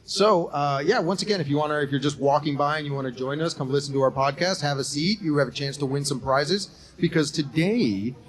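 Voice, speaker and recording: A man in his forties co-hosting a live podcast on a college campus, upbeat and laughing often. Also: on the high side. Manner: upbeat, laughs often, rushes through explanations. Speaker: podcast co-host and game host. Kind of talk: casual live podcast chat.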